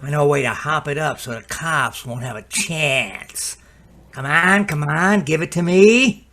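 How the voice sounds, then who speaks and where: Man playing a slow, wheedling schemer. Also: on the high side, pushed and bright. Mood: coaxing. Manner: halting, coaxing. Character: wheedling, nervous, scheming hapless man in trouble. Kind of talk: amateur old-time radio comedy acting.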